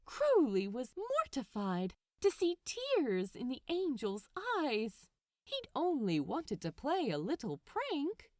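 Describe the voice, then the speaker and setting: Casting: female fiction narrator, fairly high voice, slow and deliberate, dramatic delivery, dry close sound. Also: huge pitch swings, no room sound. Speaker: podfic narrator. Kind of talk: expressive scripted story narration.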